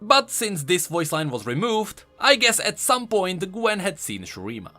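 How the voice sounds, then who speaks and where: A man's voice: high-pitched, huge pitch swings, a little slow, sharp tone. A man giving emphatic, explanatory scripted commentary on video game lore as an online host. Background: background music.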